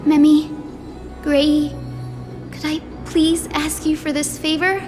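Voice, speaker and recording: A high young female voice over clear music, a gentle priestess from a fantasy game. She speaks softly and politely, with great earnestness. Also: level tone, slow. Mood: earnest. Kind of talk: video game voice acting.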